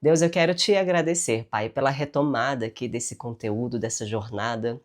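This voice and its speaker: A woman's voice: extremely low pitch, moderate pace. A Brazilian woman speaking with a nasal, stuffy voice from a cold, giving a chatty devotional talk with spoken prayer and tag questions. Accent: Brazilian Portuguese.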